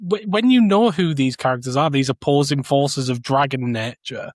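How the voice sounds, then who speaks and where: A man's voice: mid-range voice, moderate pace, dry recording, clean studio sound. A man chatting casually as a podcast panellist, giving blunt opinions and swearing freely.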